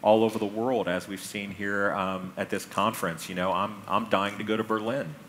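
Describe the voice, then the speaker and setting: A man's voice: fairly low pitch, steady tone, normal speed, a bit bright. A man in his forties with an American accent, giving a public talk as a conference speaker on a large indoor stage. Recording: stage mic with clear background noise.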